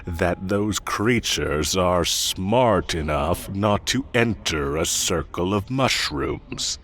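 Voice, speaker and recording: A man's voice: sits low, a bit bright, full character voice. A man playing a haughty, teasing fae forest king in an audio roleplay, with a condescending, theatrical drawl. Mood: menacing, condescending. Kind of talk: audio roleplay acting addressed to the listener.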